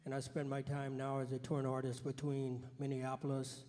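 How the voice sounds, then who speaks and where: A man's voice: medium pitch, monotone, fairly quick, warm tone. A man from Texas, a touring musician introducing himself plainly at a college Q&A. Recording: distant mic in reverberant hall.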